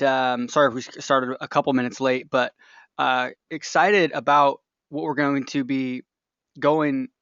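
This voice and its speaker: A man hosting a webinar in a casual, unscripted way, speaking haltingly and often breaking off his sentences. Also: medium pitch, a bit bright, no echo. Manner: hesitant, halting delivery with broken-off sentences. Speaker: webinar host. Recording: dull, lo-fi webinar audio.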